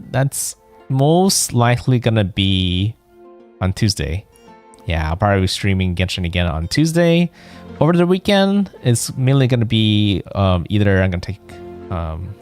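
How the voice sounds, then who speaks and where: Male livestreamer, fairly low voice, loose think-aloud chat during gameplay, music behind. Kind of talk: casual chat while playing a game.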